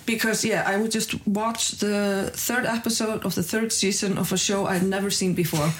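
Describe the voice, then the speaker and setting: A young adult woman comedian from northern Iceland talks casually in a green room. Her pitch sits in the middle of the range, and she drops in easy laughs and offhand swearing. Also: fairly even intonation, moderate pace, slight background noise. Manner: laid-back, swears casually, laughs easily. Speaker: comedian guest. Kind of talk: casual backstage chat.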